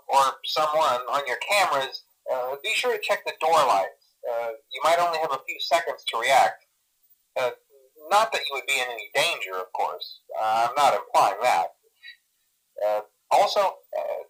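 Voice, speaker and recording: A man's voice: fairly high pitch, a bit bright, rough recording. An actor playing a nervous, chipper male company employee who leaves rambling, stammering, awkwardly reassuring training messages through a telephone filter. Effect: telephone voicemail filter. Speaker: phone guide to a night watchman. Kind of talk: recorded phone training message.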